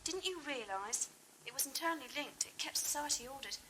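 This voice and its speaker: A woman playing a proud, sharp-tongued young alien in an audio drama, matter-of-fact and cutting. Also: high voice, animated, brisk. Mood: grave, matter-of-fact. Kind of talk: fan audio drama acting. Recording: noisy old cassette, ambience behind.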